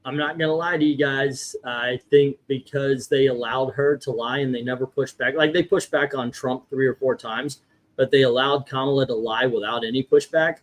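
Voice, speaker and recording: A man hosting a casual livestream, talking haltingly and often interrupting himself. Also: medium pitch, fairly even intonation. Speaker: livestream host.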